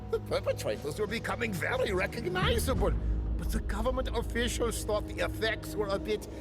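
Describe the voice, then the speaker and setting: A man's voice: extremely high pitch, long reverb tail, exaggerated voice. A man playing a frantic, self-justifying government scientist in a video game cutscene, with a wordy, theatrical, agitated manner. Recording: loud music behind.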